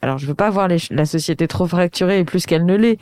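A woman's voice: on the low side, fast, darkish tone, dry recording. A woman in her thirties interviewing a guest, talking thoughtfully and searching for her words. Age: 30s. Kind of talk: conversational interview.

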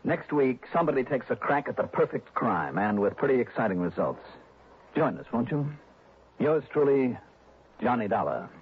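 A man's voice: mid-range voice, very animated, normal speed, background noise. An actor playing a cool, wry male insurance investigator in a 1960s radio drama, self-assured. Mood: inviting. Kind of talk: 1960s radio drama acting and first-person narration. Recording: dull old radio recording, loud music.

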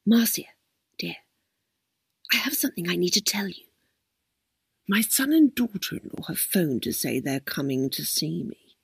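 Bedridden, muddled old woman, read by a woman, husky and hushed, slow and fretful. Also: medium pitch, sing-song, no echo, clean studio sound, full character voice. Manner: hushed breathy whisper. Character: frail, confused elderly woman, bedridden and fretful.

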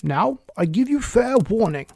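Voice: medium pitch, sing-song, normal speed, a little mellow, voice pushed up. A reader voicing a furious, bullying Queen who gives threatening commands in a storybook.

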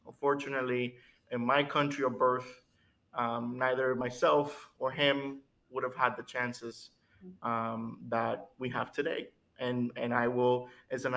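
A man from Cuba whose first language is Spanish, co-hosting a podcast and telling his story casually, halting and repeating words. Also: medium pitch, pushed and bright. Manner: halting, repeats words, chuckles now and then.

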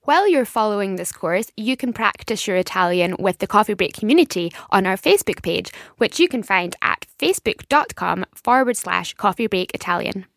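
A woman's voice: mid-range voice, lively intonation, normal speed, creaky, hardly any pauses, fairly bright tone, no room sound, studio quality. A woman co-hosting a language podcast as the learner, sounding cheerful and friendly. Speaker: learner co-host. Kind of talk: scripted podcast intro.

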